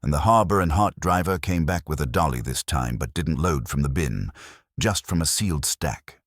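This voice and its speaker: A man's voice: rumbling low, animated, moderate pace, no echo, studio quality. A man voicing a laconic, observant detective's assistant in an audiobook mystery. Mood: terse. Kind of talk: audiobook character dialogue.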